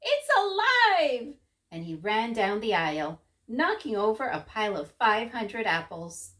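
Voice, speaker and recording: A woman's voice: medium pitch, expressive, normal speed, a bit bright. A woman narrating a children's story expressively, with rhythmic, dramatic emphasis.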